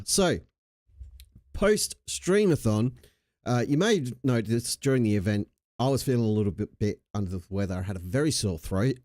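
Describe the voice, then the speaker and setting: Deep, mellow-voiced Australian man chatting casually. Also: no room sound, studio quality. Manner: laid-back, jokey, slangy and sweary. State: recovering from a chest infection with a lingering cough. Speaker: livestream host. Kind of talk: casual livestream chat.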